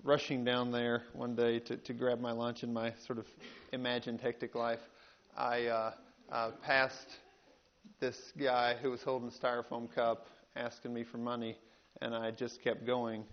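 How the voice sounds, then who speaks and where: A man from rural North Carolina with a Southern American accent, giving a folksy, halting storytelling talk as a panel speaker. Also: mid-range voice, monotone. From rural North Carolina, United States. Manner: folksy, halting with repeated words. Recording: dull, band-limited live talk recording.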